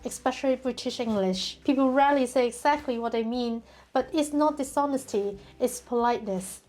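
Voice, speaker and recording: A young adult woman whose first language is Chinese, hosting a reflective vlog in a gentle, measured way and slowing down for emphasis. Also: a little high. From China. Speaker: channel host.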